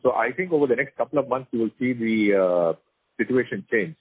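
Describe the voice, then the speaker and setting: A man's voice: medium pitch, lively intonation, a little fast, husky, no echo. An Indian man speaking as a company executive, giving informal, unscripted business answers on a call. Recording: dry, narrow conference phone line.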